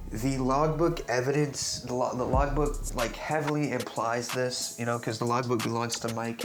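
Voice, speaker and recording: A young man giving casual, unscripted commentary on a game theory, stuttering often, restarting sentences and tagging points with "right?". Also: mid-range voice. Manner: rambling, keeps asking "right?". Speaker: YouTuber discussing game theories. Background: music in the background.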